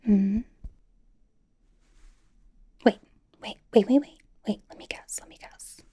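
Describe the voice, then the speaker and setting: A woman playing a bubbly, nervous young K-pop idol in an ASMR roleplay, whispering softly in a chatty, rambling, reassuring way. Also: mid-range voice, slow. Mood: playfully gushing. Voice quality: soft, breathy whisper.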